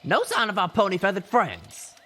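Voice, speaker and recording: A man voicing a brisk, tough female griffin scout in a story reading. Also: sits high, huge pitch swings, sharp tone, big-room sound, a little hiss or hum, pitched above the performer's own voice, exaggerated voice. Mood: brisk, alert.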